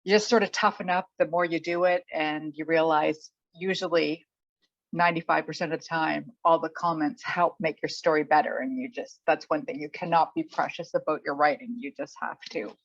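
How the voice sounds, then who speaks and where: A middle-aged woman chatting casually as an interviewed author, thinking aloud in a halting way. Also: deep voice, dry recording. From Canada. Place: remote online call. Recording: dull, muffled lo-fi audio.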